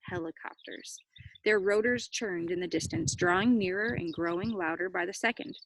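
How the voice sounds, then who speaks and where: A woman reading a children's story aloud, with expressive dramatic emphasis and brief explanatory asides. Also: on the low side, normal speed, rough recording. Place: outdoors near cows. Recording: faint background noise.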